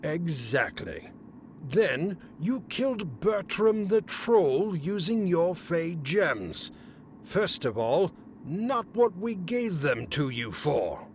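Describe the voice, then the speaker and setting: An actor playing a haughty, exasperated fae council official in a fantasy comedy audio drama, speaking formally and officiously. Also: male voice, fairly high pitch, very animated, takes it slowly, slight background noise.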